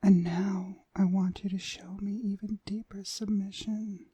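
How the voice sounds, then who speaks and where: A woman playing a dominant, seductive witch mistress in a whispered ASMR hypnosis roleplay, breathy, soothing and commanding. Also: medium pitch, flat delivery, unhurried, dark tone, no room sound.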